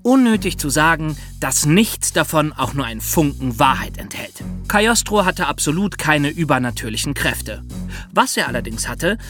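A man, co-hosting a podcast in a lively, explanatory way. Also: a little high, moderate pace, faint music behind. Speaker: podcast co-host.

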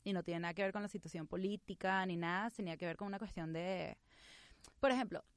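Young Venezuelan woman guest, quick and lively storyteller, close dry sound, English phrases thrown in. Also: lowish voice, no room sound. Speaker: guest, actress and comedian. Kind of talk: casual interview chat.

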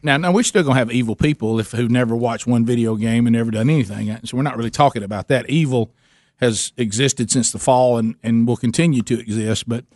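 A man's voice: medium pitch, brisk, a little mellow, no echo, clean studio sound. A man hosting a call-in radio show, talking conversationally and circling back to rephrase as he explains his point. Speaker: radio talk show host.

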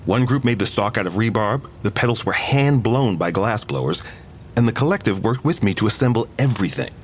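An actor playing an affectionate young man, a boyfriend with a casual, gently teasing manner, in an audio drama. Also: lowish voice, lively intonation, brisk, slight background noise, lightly acted. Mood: casual, proud. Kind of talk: audio drama dialogue, naturalistic.

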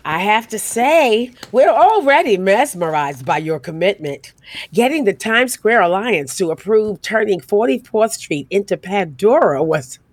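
An actress playing a polite, conciliatory Broadway producer with a courteous way of talking. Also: female voice, medium pitch, animated, unhurried, no room sound. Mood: polite, impressed.

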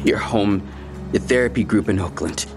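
A man's voice: lowish voice, moderate pace, over music, natural voice. An actor playing a desperate, menacing, guilt-ridden man with a knife in an audio drama, intense, both pleading and threatening. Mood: tense, low.

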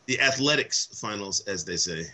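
Slightly hoarse man chatting on a podcast. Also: fairly low pitch, moderate pace. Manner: animated, enthusiastic storyteller with many fillers. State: voice worn from travel. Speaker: podcast co-host. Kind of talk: casual sports chat.

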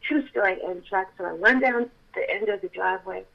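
An actress with a childlike voice telling a personal story with feeling, at times close to shouting. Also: female voice, mid-range voice, brisk, vocal fry, muffled recording. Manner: animated, swells to near shouting at intense moments. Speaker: actress telling her testimony.

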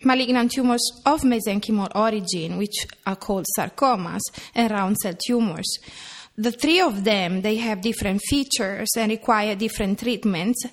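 A woman's voice: medium pitch, normal speed. Italian-accented woman explaining carefully. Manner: careful, explanatory, with some restarts. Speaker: veterinary oncology clinician. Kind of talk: podcast interview, explaining.

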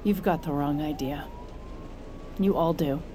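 A woman's voice: low-pitched, unhurried, background noise. A woman playing a regretful, guarded woman in an audio drama. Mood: guarded, defiant. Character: regretful woman, sister of the officer's suspect. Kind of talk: immersive audio drama acting.

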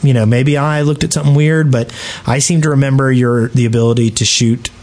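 A man chats casually as a gaming podcast co-host. His pitch sits in the middle for a male voice. He comes across as a bit grumbly and ready to argue his point. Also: steady tone, brisk, darkish tone. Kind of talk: casual chat about gaming.

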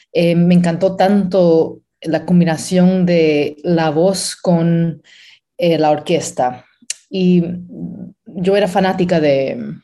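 Give me a woman with a warm, lower voice answering an interview in a thoughtful, hesitant way. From Pennsylvania, USA. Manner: thoughtful, many hesitations and restarts. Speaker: opera stage director, guest.

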